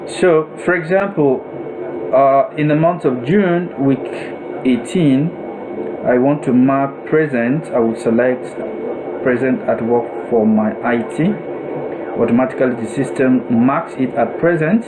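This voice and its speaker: A man narrating a step-by-step software tutorial in a deliberate, instructional way, repeating and rephrasing himself as he goes. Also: mid-range voice, background noise.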